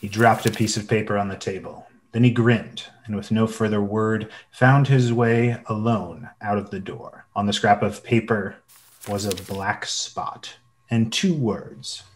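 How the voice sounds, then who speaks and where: An earnest male storyteller acted by a man narrates a radio play as a memoir. His voice is fairly low and literary in delivery. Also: steady tone, a little slow, lightly acted. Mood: earnest, reflective. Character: grown man recalling his boyhood adventure. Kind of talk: scripted dramatic narration.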